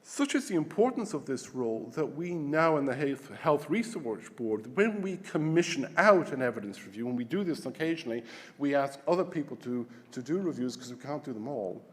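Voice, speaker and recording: A Scottish man giving a formal opening welcome as conference host, with halting restarts and repeated words. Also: mid-range voice, expressive. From Scotland. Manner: hesitant, repeats words while searching. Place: large conference hall. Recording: long hall reverb.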